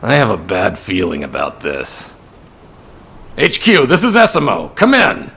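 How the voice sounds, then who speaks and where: An actor playing a concerned, urgent soldier in a video game, with a rough gravelly voice. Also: male voice, lowish voice, huge pitch swings, unhurried, sharp tone, heavily acted. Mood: alarmed. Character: concerned male soldier on war-front watch, urgent. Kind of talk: video game cutscene acting.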